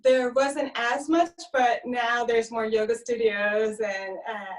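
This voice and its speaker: A woman's voice: on the high side, fairly even intonation, slow pace. A woman from Romania who grew up in South Florida, chatting as an interview guest over a video call, giggly between phrases. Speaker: interview guest, sound healer. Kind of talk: casual conversational interview. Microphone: video call microphone, slightly distant. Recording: slightly distant video-call mic.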